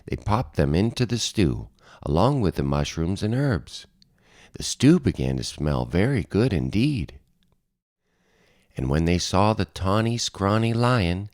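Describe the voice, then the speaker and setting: A man narrating a children's picture book as an expressive read-aloud. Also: low-pitched, no room sound, clean studio sound. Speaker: storybook narrator.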